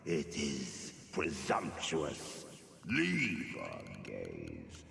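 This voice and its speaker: An actor playing a haughty male creature collector who speaks of himself as plural, in a deep gravelly voice with slow, imperious delivery. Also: huge pitch swings, pushed and bright, full character voice. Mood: haughty, disdainful. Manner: slow, imperious, refers to others as "it". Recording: echoing hall reverb with game ambience.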